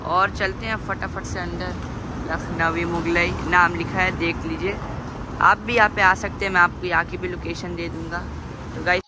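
A man's voice: high voice, level tone, moderate pace. A teenage boy chatting casually to viewers as a food vlogger, filming himself on a phone held at arm's length outside at night. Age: teens. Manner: chatty, addresses viewers as brother. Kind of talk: casual vlog narration. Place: outdoors on a city street at night, traffic around. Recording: phone video with loud street noise.

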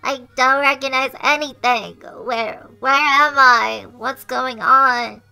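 Tiny, high, timid sprite. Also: female voice, fairly even intonation, gravelly, sharp tone, clean tone, faint music behind, full character voice, low-quality recording. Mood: frightened. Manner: halting, timid. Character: timid, lost, tearful tiny peacock sprite. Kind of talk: comic dub cartoon acting.

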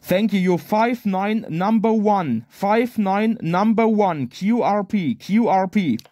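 High-pitched man calling on ham radio. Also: slow, mellow. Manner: clipped, repetitive callsign spelling with radio phonetics. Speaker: amateur radio operator. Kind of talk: amateur radio contest exchanges. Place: outdoors at a garden picnic table.

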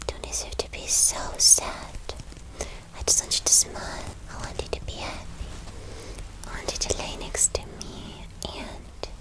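A woman whispering tenderly and soothingly in an affectionate ASMR girlfriend roleplay, often softly repeating her phrases. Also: poor audio. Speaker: ASMR performer.

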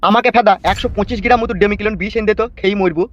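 A man's voice: high-pitched, steady tone, very fast, fairly bright tone. A man voicing an exasperated, blustering, foul-mouthed fellow in a comedy cartoon, complaining and swearing. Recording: muffled audio with faint music.

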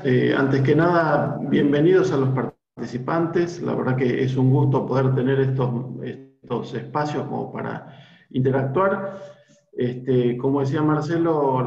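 A man's voice: mid-range voice, creaky, a little mellow. A man in his forties with an Argentine accent, a university lecturer talking to prospective students on a video call, hesitant with many fillers and restarts. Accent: Argentine Spanish. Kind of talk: informal talk to prospective students. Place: small room at home. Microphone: laptop webcam on video call. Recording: echoey room, dull lo-fi video call.

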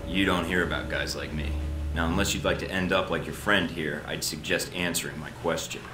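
An actor playing a cocky young secret agent in a video game, terse and dry. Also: male voice, sits low, steady tone, fairly quick, music in the background, lightly acted. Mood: irritated, threatening. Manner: dry, curt, understated. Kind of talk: video game cutscene acting.

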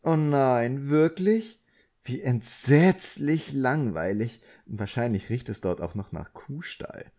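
Audiobook role: man as affected, gossipy society lady, pitched up and gushing. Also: expressive, slow pace, dark tone. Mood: gushing, disdainful. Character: gossipy, snobbish society woman, smitten and shallow.

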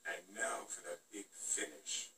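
A gruff, weary puppet demon hunter from a TV series, low and gravelly. He speaks slowly and grimly, heard from a distance through television playback. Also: sharp tone, exaggerated voice. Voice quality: gravelly, creaky. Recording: distant TV playback with faint noise.

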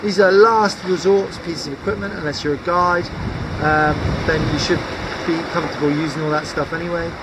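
A man's voice: on the high side, steady tone, fairly quick, very noisy. A man in his thirties from the UK, giving a practical, upbeat demonstration of safety gear to a camera outdoors on coastal rocks. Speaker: sea kayaking instructor.

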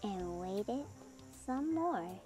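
A woman narrating a children's picture book aloud in an expressive storytelling style. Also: mid-range voice, very slow. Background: music under the voice.

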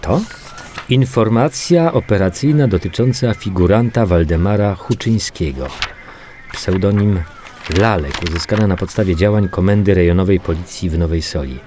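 An actor playing a matter-of-fact, weary male police investigator in a radio drama, muttering and repeating himself haltingly. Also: low-pitched, warm tone, a little hiss or hum, natural voice. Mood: wry, businesslike. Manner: halting, muttering repetitions while reading out reports. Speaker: actor in an audio crime drama. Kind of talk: naturalistic radio drama dialogue.

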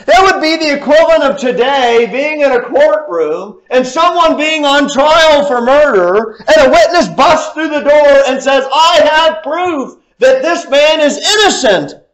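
Young male preacher at a pulpit, slow delivery, high voice that jumps up and drops low, loud then hushed. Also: lively intonation, poor audio. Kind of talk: sermon. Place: church sanctuary, at a pulpit.

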